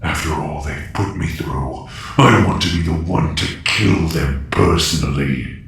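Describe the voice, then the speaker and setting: A man's voice: rumbling low, unhurried, gravelly, a bit bright, distant mic, exaggerated voice. A man playing an imperious, vengeful evil warlord in an audio drama, giving menacing commands. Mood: menacing, commanding. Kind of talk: audio drama villain acting. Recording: echoing, noisy, off the mic.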